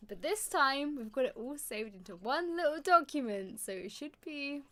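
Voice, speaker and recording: Hoarse female host, fairly high voice, chatty live-stream greeter. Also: animated, moderate pace, a bit bright. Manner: chatty, greets viewers by name. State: hoarse, losing her voice. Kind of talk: casual live stream chat.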